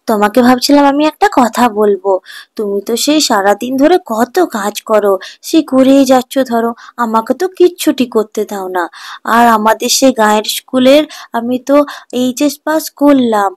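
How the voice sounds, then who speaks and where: A woman's voice: fairly high pitch, moderate pace, lightly acted. A woman voicing an affectionate, eager young village grandson in a cartoon folk tale, chatty and coaxing. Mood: pleading. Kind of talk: animated children's folk tale acting.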